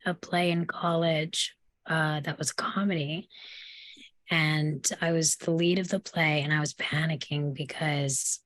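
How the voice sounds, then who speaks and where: A woman with a low voice shares stories in a casual discussion. She phrases things thoughtfully and hesitantly, recorded dry and free of echo. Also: flat delivery, unhurried, a bit bright. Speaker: actor in table read cast. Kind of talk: casual group discussion, storytelling.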